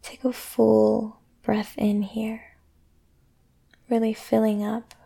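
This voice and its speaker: A woman guiding a sleep meditation in a soothing, gentle way, speaking deliberately with long pauses. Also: a little high, completely flat delivery, vocal fry, husky. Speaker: meditation guide and podcast host. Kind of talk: guided sleep meditation, yoga nidra.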